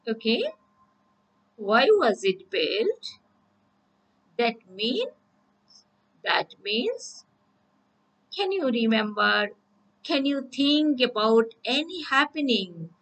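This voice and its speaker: A woman's voice: fairly high pitch, sing-song, fairly bright tone, poor audio. A woman teacher, giving a recorded English lesson and often checking in with "okay?". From Bangladesh. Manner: slow, repeats "okay?" to check understanding.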